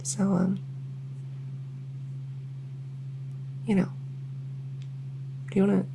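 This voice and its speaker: A woman's voice: medium pitch, completely flat delivery, a little slow, creaky, noisy background. A woman voicing a lonely, socially awkward vampire who rambles enthusiastically in an ASMR audio roleplay. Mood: shy, lonely. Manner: rambling, enthusiastic info-dumping, many fillers. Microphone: close ASMR microphone.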